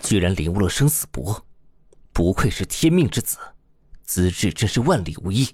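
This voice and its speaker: A man's voice: low voice, sing-song, moderate pace, darkish tone, husky, dry recording, heavily acted. A man acting a grave, proud demon king of a mountain sect, speaking in a solemn, weighty, musing way in a fantasy audio drama. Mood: impressed.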